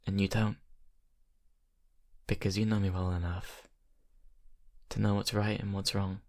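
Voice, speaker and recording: Low, gravelly brooding young vampire played by a man. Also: flat delivery, a little mellow. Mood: brooding, guarded. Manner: hesitant, halting, confiding, intimate. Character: brooding young male vampire confessing to best friend. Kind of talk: ASMR audio roleplay.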